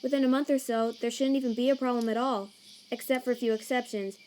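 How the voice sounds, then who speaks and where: A woman voicing a nervous female monster scientist in a fan comic dub, earnest and anxious, with a halting stammer. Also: a little high, fairly even intonation, a little mellow, a little hiss or hum, natural voice, low-quality recording. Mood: earnest, reassuring. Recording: chirping crickets and night ambience behind.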